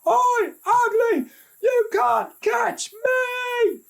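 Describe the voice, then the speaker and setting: A man's voice: extremely high pitch, very slow, vocal fry, a bit bright, pitched above the performer's own voice, exaggerated voice. A man voicing a timid young boy, an easily frightened little brother in a storybook tale. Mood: nervous.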